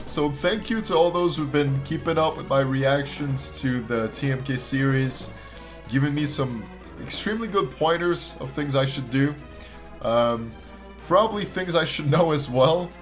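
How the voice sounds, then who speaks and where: A man's voice: on the high side, unhurried, soft background music. A man hosting a reaction video with casual, informal commentary, swearing and repeating phrases for emphasis.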